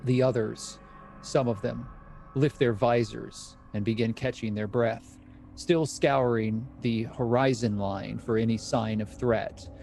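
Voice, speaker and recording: A man runs a tabletop horror campaign as game master, his voice mellow and dark. He narrates scenes in a measured, descriptive way, then turns to the players with questions. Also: medium pitch, steady tone, vocal fry, slight background noise. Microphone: streaming mic.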